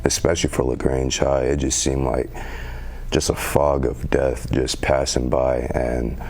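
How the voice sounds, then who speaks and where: A teenage young man, a youth council member, answering interview questions earnestly in a very deep voice with some halting fillers. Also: level tone, vocal fry, a little mellow. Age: teens. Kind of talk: community TV interview.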